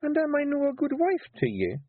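A man voicing a young Scottish fairy clan wife who speaks in a dangerously sweet, measured way. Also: very high voice, huge pitch swings, no echo, pitched above the performer's own voice, exaggerated voice. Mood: stern sweet. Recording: tinny phone-like audio.

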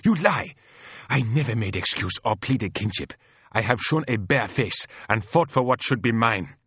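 Audiobook role: male narrator as defiant young royal woman, pitched up, very animated, snapping and hot-tempered. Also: moderate pace, breathy edge, no echo, highs cut off, full character voice. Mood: furious, accusing. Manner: snapping, shrill outbursts.

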